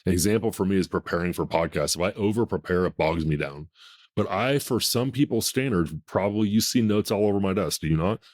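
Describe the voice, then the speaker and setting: A man in his fifties with a deep voice, hosting a podcast in a blunt, emphatic, self-assured way. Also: brisk, darkish tone. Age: 50s. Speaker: podcast host. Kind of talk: casual podcast conversation.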